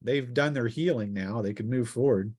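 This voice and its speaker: A man with a mid-range voice runs a tabletop game as its game master. He narrates casually and haltingly, thinking aloud partway through his sentences. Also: mid-range voice, darkish tone, slightly rough.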